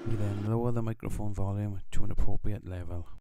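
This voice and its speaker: A man giving measured, scripted instructional narration in the manner of a tutorial instructor. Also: lowish voice, fairly even intonation, heavy background noise.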